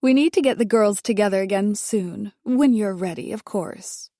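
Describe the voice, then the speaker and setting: A woman's voice: mid-range voice, moderate pace, mellow, no echo, studio quality, lightly acted. A woman voicing an older wealthy socialite who talks in a polite, gushing way.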